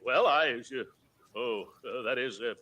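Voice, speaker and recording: An actor playing a pompous middle-aged uncle who blusters, stammers and giggles nervously in 1940s radio comedy style. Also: male voice, mid-range voice, a little slow, pushed and bright. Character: pompous, flustered middle-aged uncle, a girdle company boss. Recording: noisy old radio recording.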